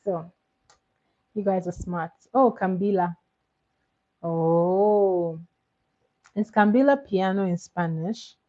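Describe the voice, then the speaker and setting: A woman with Igbo as her chats easily with her livestream viewers in a lowish voice, giggling and doubling back on her words. The sound is dull and lo-fi, like a home stream with a basic mic. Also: slow, pauses often, warm tone. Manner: chatty, giggly, repeats words. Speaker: livestream host. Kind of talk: casual chat with viewers.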